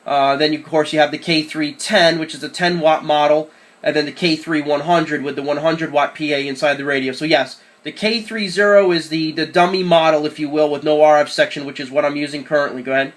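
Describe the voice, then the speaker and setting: A man's voice: on the high side, fairly even intonation, brisk. A man chatting casually and enthusiastically as an amateur radio operator during a radio contact.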